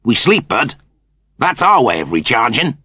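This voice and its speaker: A man's voice: medium pitch, big pitch swings, a little slow, bright, forceful tone, husky, no room sound, clean studio sound, pitched above the performer's own voice, exaggerated voice. Man doing a slangy, swooping cat-man. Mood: matter-of-fact. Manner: slangy, blunt. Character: cool, slangy male humanoid cat, cheekily blunt.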